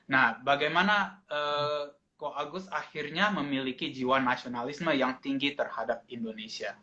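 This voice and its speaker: Bright-voiced male interviewer on a muffled line. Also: medium pitch, normal speed. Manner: casual and hesitant, with short restarts. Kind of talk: casual interview questions. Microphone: video call.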